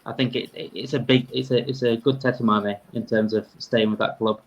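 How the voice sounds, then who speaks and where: This is a man in his thirties with a Yorkshire accent. His pitch sits in the middle range for a man. He talks in a casual, conversational way, like a guest answering questions on a fan podcast. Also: steady tone, a little fast, lo-fi sound. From Yorkshire, England. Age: 30s.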